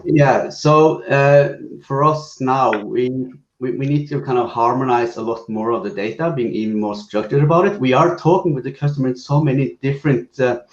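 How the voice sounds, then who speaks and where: A man answering a panel question in a relaxed, hesitant way with many fillers and restarts. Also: mid-range voice, moderate pace, a little hiss or hum. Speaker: business guest speaker. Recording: roomy muffled video call audio.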